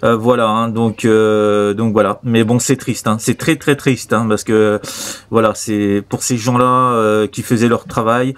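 A man's voice: fairly low pitch, flat delivery, unhurried. A man with an accent from France talking casually as a radio operator, rambling and repeating himself hesitantly. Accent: French from France. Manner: rambling, hesitant, repetitive, many tag words. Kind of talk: casual radio contact chat.

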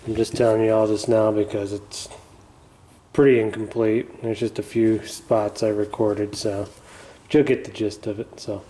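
A man talks through a repair in a relaxed, mumbly way, letting sentences trail off into fillers. His voice sits low and mellow with little rise and fall. He records on a phone in his garage. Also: normal speed, low-quality recording. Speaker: channel host. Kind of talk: casual hands-on repair vlog. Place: garage, working on a floor bench.

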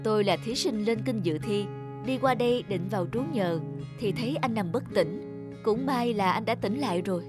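A woman plays a young male scholar in a cartoon folk tale. He is humble, kindly and earnest, speaking politely over clearly audible music. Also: mid-range voice, normal speed, close to an everyday voice. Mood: friendly.

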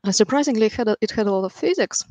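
A woman in her thirties with a Ukrainian accent, telling her story as an interview guest in a measured way with dry, understated humour. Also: on the high side, lively intonation, darkish tone, no room sound, rough recording. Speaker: podcast guest, software engineer. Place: room at home, video call. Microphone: headset with boom mic.

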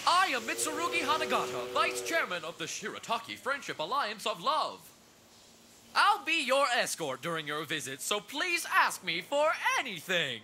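A woman voicing a vain, excitable young man in an English anime dub, with a theatrical, pompous, blustering delivery. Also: a little high, moderate pace, slight background noise. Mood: grandly formal.

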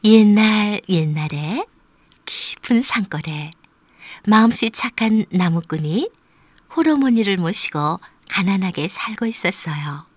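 Woman narrating a kids' folk tale, slow and lively, gentle sing-song, dry studio. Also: mid-range voice, dry recording, highs cut off. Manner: gentle sing-song telling, sometimes hushed. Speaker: storyteller. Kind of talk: scripted children's storybook narration.

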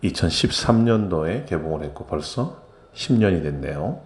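A middle-aged man, casually retelling a film he acted in, unhurried with frequent hesitant pauses. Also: deep voice, expressive, darkish tone. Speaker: film narrator and actor. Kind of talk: casual spoken film recap.

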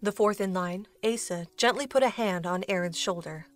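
A woman's voice: mid-range voice, normal speed, soft background music. A woman reading scripted storybook narration for an audio drama.

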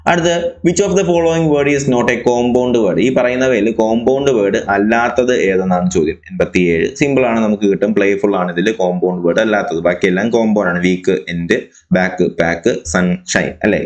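A man walks through exam answers as a coaching tutor, his voice sitting a little low. He explains in a chatty way, tossing in rhetorical questions and stock English phrases about the correct option. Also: moderate pace, dull audio. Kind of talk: answer key walkthrough.

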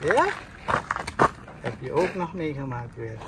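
A man on a hike making calm, low-key remarks from a little way off the phone mic outdoors. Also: slow, heavy background noise. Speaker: hiking companion. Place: outdoors on a scrubland trail.

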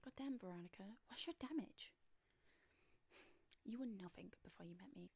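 A young woman playing a domineering, sarcastic high-school queen bee in a soft-spoken, often whispered ASMR roleplay, close on the mic. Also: expressive, normal speed, creaky, breathy edge, no room sound. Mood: scornful, angry. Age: young adult.

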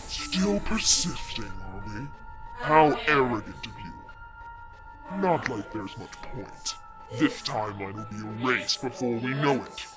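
A man voicing a sadistic, mocking demonic villain with theatrical menace in a comic dub, over loud music. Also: medium pitch, animated, a little slow, lightly acted. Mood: cold menace. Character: sadistic, taunting demonic villain possessing a child. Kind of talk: dramatic comic dub acting.

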